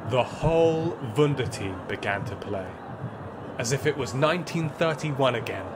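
Actor as reflective male football fan telling his story in a radio play, measured and wry, medium pitch, noisy background. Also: lively intonation, lightly acted. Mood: stirred. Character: reflective Austrian football fan recalling the Nazi era. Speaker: narrator. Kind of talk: first-person dramatic storytelling in an audio play.

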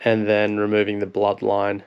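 A man in his thirties with an Australian accent, a fishing vlogger calmly explaining how to prepare fish in a voice-over. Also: fairly low pitch, moderate pace, clean tone, lo-fi sound. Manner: relaxed, plain explaining. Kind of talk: instructional cooking voice-over.

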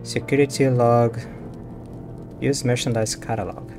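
Casual male game streamer, slow and offhand, talking to himself over clear background music. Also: medium pitch, fairly even intonation. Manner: muttering brief reactions to himself. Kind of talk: casual live gameplay commentary.

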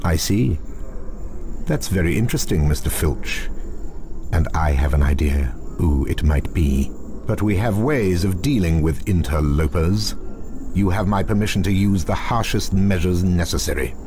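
Very deep, sinister male wizard professor. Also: expressive, background noise. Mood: cold, menacing. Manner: cold, deliberate, sinister. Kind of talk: video game voice acting.

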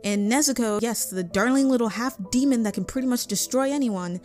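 A woman's voice: on the high side, brisk, a little mellow. A woman reading scripted narration as the narrator of a video essay. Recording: background music under voice. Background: music.

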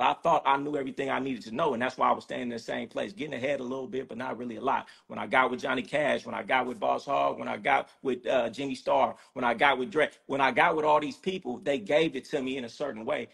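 A man's voice: mid-range voice, vocal fry, fairly bright tone, husky. A man from Sacramento talking bluntly and profanely as a guest on a casual video-call podcast. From Sacramento, California.

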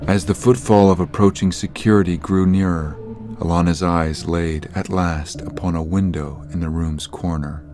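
A man narrating a scripted horror story in a measured, literary manner. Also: low voice, steady tone, over music.

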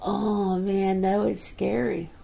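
Woman ASMR creator, casual one-sided home chat, rambling and laughing, husky mellow tone. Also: medium pitch, level tone, takes it slowly. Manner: rambling, chatty, laughs often, many fillers. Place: indoors at home.

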